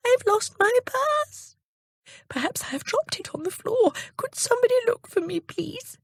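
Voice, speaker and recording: A woman voicing a flustered, kindly old lady in a children's story, with a high, quavering, fretful voice. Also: very animated, normal speed, creaky, dry recording, exaggerated voice. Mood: frightened.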